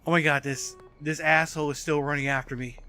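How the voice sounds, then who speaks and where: Bright-voiced man in his forties, streaming. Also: on the high side, fairly even intonation, fairly quick, soft background music. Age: 40s. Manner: casual, swears freely. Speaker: video game streamer. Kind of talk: casual live gameplay commentary, chatting with viewers.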